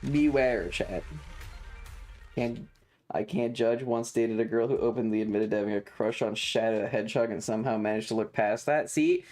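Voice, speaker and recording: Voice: mid-range voice, moderate pace, darkish tone, faint music behind. A streamer chatting casually over a game in a sardonic, mock-serious way, taking time over the words. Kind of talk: casual livestream chat and game commentary.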